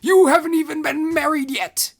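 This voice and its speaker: A man's voice: very high voice, steady tone, moderate pace, pitched above the performer's own voice. A man voicing an old temple priest who shrieks and sputters in rage. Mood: shrieking fury.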